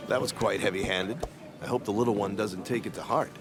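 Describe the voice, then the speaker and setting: An actor playing a dry, composed man at a wake in a video game, speaking in a formal, measured, wry way. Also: on the low side, fairly even intonation, over music, natural voice. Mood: mildly disapproving.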